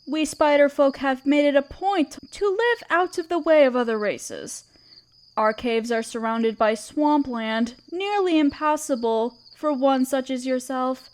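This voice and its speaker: A woman playing a regal, tender spider queen in an audio roleplay, speaking in a gentle, soothing and slightly formal way. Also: high-pitched, a little slow. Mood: regal, curious. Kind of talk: scripted roleplay audio drama. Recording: crickets chirping behind the voice. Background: crickets and night insects.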